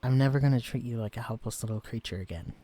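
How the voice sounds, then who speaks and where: An actor playing a shrunken man who is tender but guarded, talking in an earnest, vulnerable, softly confessional way in a scripted audio drama. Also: mid-range voice, fast talker, lightly acted. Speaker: romantic lead in an audio roleplay.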